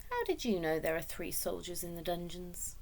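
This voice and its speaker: A woman's voice: fairly low pitch, very animated, moderate pace, gravelly, darkish tone, exaggerated voice. A cold, scheming nobleman from a children's storybook, acted out by a woman reader. He speaks with courtly politeness that carries menace. Every phrase is insinuating and probing, as if testing the listener. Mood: suspicious.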